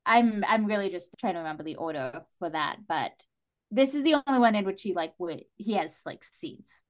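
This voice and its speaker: A woman chatting casually on a podcast, retelling things in an animated way and acting out imagined lines. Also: mid-range voice, a little fast. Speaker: podcast panelist. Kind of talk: casual chat discussing a TV series.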